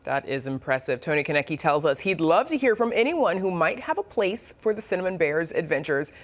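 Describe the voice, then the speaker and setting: A woman's voice: low voice, very animated, fairly quick. A woman anchoring a television news show from a studio, reading a scripted sign-off.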